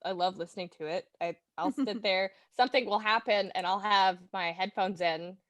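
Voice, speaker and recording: A woman chatting casually in a giggly, excitable and self-deprecating way, as a fan guest on a talk show. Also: mid-range voice, moderate pace, no echo. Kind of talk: casual chat.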